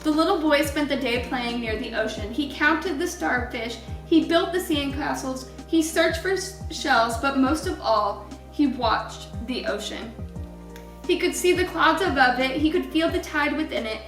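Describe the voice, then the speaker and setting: A woman reading a children's story aloud in an expressive storytelling style, with deliberate, emphatic wording. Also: high voice, reverberant, far from the mic, soft background music, poor audio.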